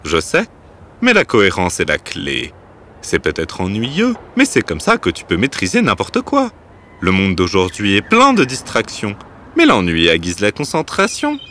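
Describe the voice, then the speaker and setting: A man playing a kind, wise uncle who gently instructs his young niece in an animated fairy tale. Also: on the low side, moderate pace, slight background noise, exaggerated voice. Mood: calm, encouraging.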